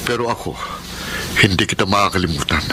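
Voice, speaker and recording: An actor playing an embittered, vengeful hired killer in a melodramatic Filipino radio drama, with a Filipino accent. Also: male voice, deep voice, fast, close to an everyday voice. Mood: bitter. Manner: melodramatic, brooding monologues and heated outbursts. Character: embittered adult son turned hired killer, brooding and vengeful. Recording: very noisy radio drama recording.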